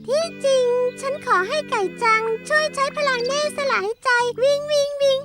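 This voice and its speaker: Young cartoon schoolgirl, extremely high pitch, excitable, dramatic, loud music underneath. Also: normal speed. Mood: puzzled. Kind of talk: Thai cartoon dubbing.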